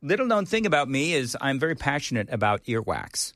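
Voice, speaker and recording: A man's voice: mid-range voice, big pitch swings, fairly quick, fairly bright tone, dry recording. A man hosting a talk radio show in a casual, bantering style.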